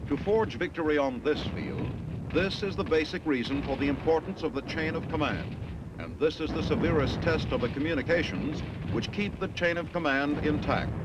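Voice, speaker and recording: An American man reading formal, emphatic scripted narration in the manner of a 1950s military documentary, with measured phrasing. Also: a little high, very noisy. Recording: dull vintage film soundtrack with music.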